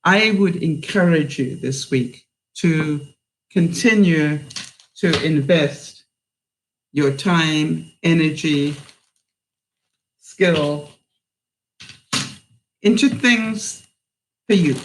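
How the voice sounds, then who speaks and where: A woman in her seventies with a mixed British accent, hosting a live stream and chatting with viewers in a halting way, full of fillers. She sounds a bit tired. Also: deep voice, slight background noise, low-quality recording. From England. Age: 70s. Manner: unhurried, halting, with many fillers. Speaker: livestream host.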